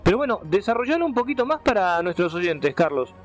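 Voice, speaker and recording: Higher-pitched Argentine male radio host. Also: big pitch swings, fairly quick, soft background music. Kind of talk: casual radio interview. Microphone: studio radio microphone.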